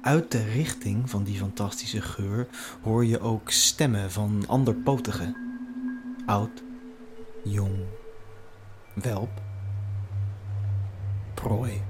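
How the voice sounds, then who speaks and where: A man narrating an audio drama in scripted, suspenseful short phrases with long pauses. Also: fairly low pitch. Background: music.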